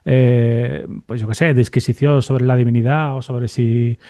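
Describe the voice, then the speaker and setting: A man speaks in a mellow, relaxed voice at a medium pitch. He interviews conversationally, repeating words as he thinks aloud. The sound is close and dry. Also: unhurried, no room sound. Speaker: podcast host, university radio lecturer.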